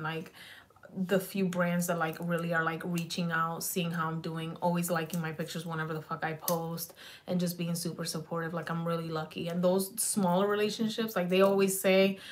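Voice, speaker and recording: Slightly raspy woman rambling on a livestream. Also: lowish voice, a little hiss or hum. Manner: loose and profane, slips into Spanish words. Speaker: beauty vlogger. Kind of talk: rambling casual livestream chat. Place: small home beauty room, indoors.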